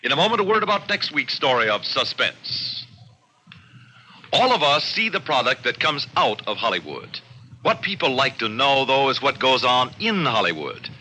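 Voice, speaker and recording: A man's voice: medium pitch, sing-song, moderate pace, sharp tone, slight background noise, low-quality recording. A man reading scripted announcements in a formal, polished, emphatic old-time radio style. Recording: old network radio broadcast, faint music.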